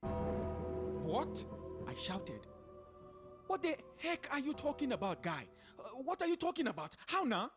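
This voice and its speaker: A woman's voice: sits high, big pitch swings, measured pace, pauses often, music in the background. A woman with a Nigerian accent playing a guilt-torn hustler who confesses to betraying a lover, in a theatrical radio drama monologue with Pidgin asides. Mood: shocked, indignant.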